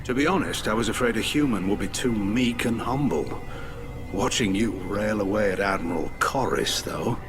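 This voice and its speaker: Man voicing a gruff low-voiced alien war admiral. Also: moderate pace, close to an everyday voice. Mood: hearty. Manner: blunt, wry, informal. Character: gruff, hawkish male alien fleet admiral, middle-aged war veteran. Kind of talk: video game dialogue acting. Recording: loud music behind the voice. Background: music.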